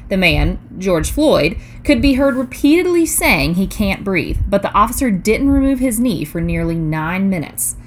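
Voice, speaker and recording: A woman's voice: medium pitch, big pitch swings, moderate pace, hardly any pauses, a little hiss or hum. A woman hosting a scripted news digest podcast in a casual, chatty way with playful asides.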